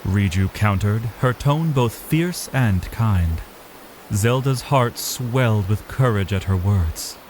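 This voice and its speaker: A man narrating a dramatic story in a solemn, theatrical, deliberate way. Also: lowish voice, animated, darkish tone, slight background noise, poor audio. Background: music.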